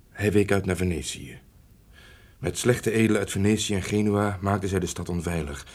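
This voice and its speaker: An actor playing a loyal, honest manservant in a formal radio play, speaking in a grave, sombre and measured manner. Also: male voice, deep voice, darkish tone, breathy edge. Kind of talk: Dutch radio play acting, formal narration.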